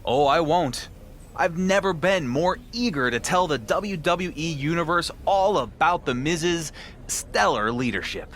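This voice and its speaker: A man's voice: fairly high pitch, animated, a little slow, fairly bright tone, slight background noise. A man playing a confident wrestling champion in a game cutscene, talking in a wry, self-assured way. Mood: sarcastic. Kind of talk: video game cutscene acting.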